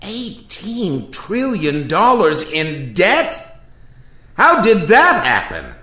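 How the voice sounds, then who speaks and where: A man's voice: sits high, wildly swooping pitch, very slow, bright, forceful tone, heavily acted. A man acting an indignant Thomas Jefferson in a radio play, speaking in an emphatic, formal, oratorical way. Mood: aghast, lecturing. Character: indignant Thomas Jefferson, aghast at modern America. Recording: roomy, echoey voice recording.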